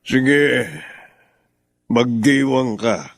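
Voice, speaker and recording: A man's voice: mid-range voice, very slow, creaky, dry recording, clean studio sound. An actor playing a middle-aged father mourning the wife who left him, mournful and withdrawn, in a radio drama. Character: grieving middle-aged father, sullen and lovesick.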